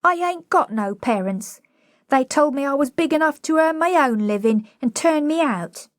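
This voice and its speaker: A woman voicing a brash young flower girl, loud and indignant. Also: high-pitched, lively intonation, normal speed, dry recording, studio quality, voice pushed up. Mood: defiant.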